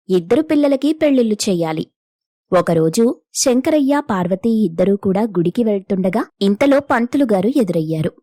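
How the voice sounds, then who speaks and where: A woman's voice: medium pitch, mellow, dry recording. Woman narrating a story, dry studio sound. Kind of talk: scripted moral story narration.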